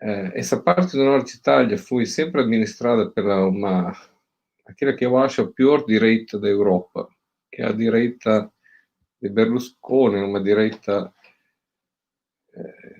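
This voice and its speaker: A man's voice: lowish voice, a little slow, long pauses, darkish tone, dull audio. A middle-aged man from Italy speaking Portuguese with an Italian accent, giving a hesitant academic talk over a video call with many fillers and restarts. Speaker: guest professor.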